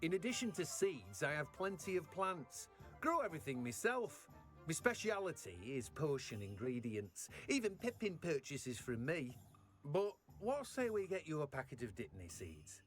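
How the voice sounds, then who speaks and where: Sociable British seed vendor, hearty, highish voice, lively intonation, chatty, fantasy game. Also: male voice, normal speed, soft background music. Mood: jovial, welcoming. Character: jovial, sociable plant-seller at a village cart. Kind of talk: video game dialogue.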